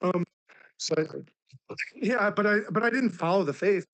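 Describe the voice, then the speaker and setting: A man in his thirties, raspy from laryngitis, talking earnestly on a live stream and saying "like" constantly. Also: sits high, a little slow, a bit bright, rough recording. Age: 30s. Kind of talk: casual heartfelt talk.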